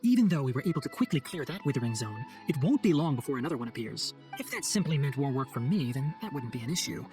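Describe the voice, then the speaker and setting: A man's voice: mid-range voice, very animated, fast talker, hardly any pauses, a little mellow, soft background music, close to an everyday voice. An actor playing an earnest, scholarly young male forest ranger in a video game cutscene, speaking formally and explaining things with composure. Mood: earnest, grave.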